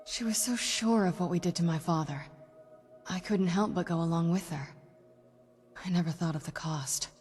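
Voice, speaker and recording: Woman acting as earnest low-voiced young vampire woman. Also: a little mellow, natural voice. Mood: somber, reflective. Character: young female vampire, earnest, guarded, knowledgeable. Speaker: game companion character. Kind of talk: video game voice acting.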